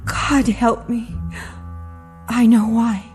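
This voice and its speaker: Casting: devoted lover, fairly high mellow voice, hesitant, earnest, classic TV drama. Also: female voice, flat delivery, extremely slow. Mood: pleading. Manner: hesitant, pleading, tender. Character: earnest, devoted woman reunited with her lost love. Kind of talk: mid-century television drama acting. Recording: vintage soundtrack with music behind. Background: dramatic music.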